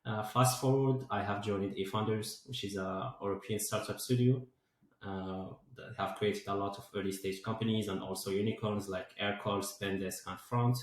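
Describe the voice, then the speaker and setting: A man's voice: fairly low pitch, steady tone, moderate pace. A man in his twenties from Morocco, answering interview questions as a guest and filling his sentences with "like" and "uh". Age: 20s. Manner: many fillers like "like" and "uh". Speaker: guest, DevOps engineer and founder. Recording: very echoey room.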